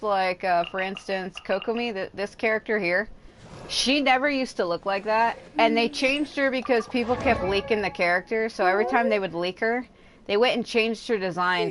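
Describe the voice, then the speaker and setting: A woman's voice: mid-range voice, background noise. A woman chatting casually as a livestreamer, rambling and venting with casual swearing. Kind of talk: casual gaming livestream chat.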